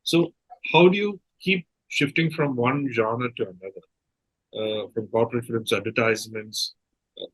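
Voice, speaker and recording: A man with an Indian accent moderating an interview, putting questions in a halting way and rephrasing as he goes. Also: mid-range voice, a bit bright, slightly rough, no room sound, poor audio.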